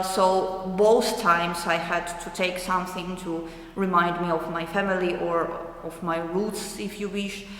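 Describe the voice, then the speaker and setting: A young adult woman from eastern Ukraine with a Ukrainian accent, answering interview questions thoughtfully with many hesitant pauses. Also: fairly low pitch, fairly bright tone, slight background noise. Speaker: artist interviewee. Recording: roomy hall with long echo.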